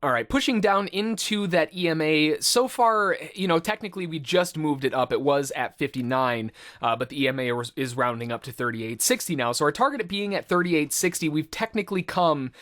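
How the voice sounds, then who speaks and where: Higher-pitched man giving trading commentary. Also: brisk, few pauses, a bit bright. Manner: informal, conversational, trading jargon. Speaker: trading instructor. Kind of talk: live trade commentary, explanatory.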